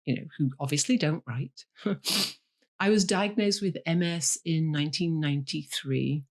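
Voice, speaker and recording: A woman's voice: low-pitched, unhurried. A woman, a novelist talking about her life in an interview. She speaks candidly and slips in dry jokes. Her ums and restarts sound natural. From Leeds, Yorkshire, England. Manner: dry humour, candid, fillers like um. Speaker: guest, novelist. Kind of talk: interview over a call.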